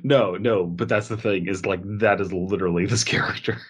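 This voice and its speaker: A man with a fairly low voice chats as a podcast co-host. He builds on jokes in a mock-serious way, keeping the talk loose and conversational. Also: steady tone, brisk, breathy edge. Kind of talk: casual joking group podcast chat.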